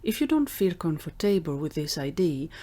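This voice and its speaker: A woman's voice: deep voice, very animated, moderate pace, warm tone. A woman voicing a tiny, polite and considerate male inventor in an audio drama. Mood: polite, reassuring.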